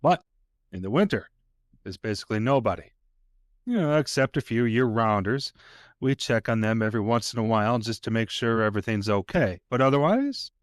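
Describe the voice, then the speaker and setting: An actor playing a chatty, folksy local sheriff's deputy in an audio play, telling things in a colloquial way. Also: male voice, medium pitch, no room sound, studio quality, natural voice. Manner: folksy, colloquial, unhurried storytelling. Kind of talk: naturalistic audio drama dialogue.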